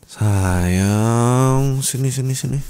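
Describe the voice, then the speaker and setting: A man playing a gentle, caring husband in an ASMR roleplay, speaking in a tender, coaxing, reassuring way. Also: mid-range voice, extremely slow, clear, resonant tone, pitched above the performer's own voice. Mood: soothing, apologetic. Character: gentle caring young husband comforting his distressed wife.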